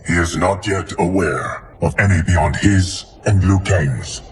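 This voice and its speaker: An actor playing a weary guardian deity, solemn and formal, in a video game cutscene. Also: male voice, deep voice, measured pace, background noise. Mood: grave. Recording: cinematic music under dialogue.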